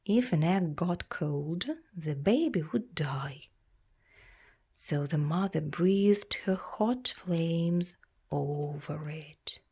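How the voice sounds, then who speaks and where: Slow, husky woman reading a storybook. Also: low voice. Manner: measured, expressive storytelling for children. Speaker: children's story reader. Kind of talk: read-aloud storybook narration.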